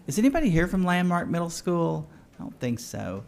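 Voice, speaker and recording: Man, board meeting, award announcements, halting, lots of fillers. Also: a little high, big pitch swings, gravelly. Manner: halting, with frequent uh and restarts. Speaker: school district official presenting awards.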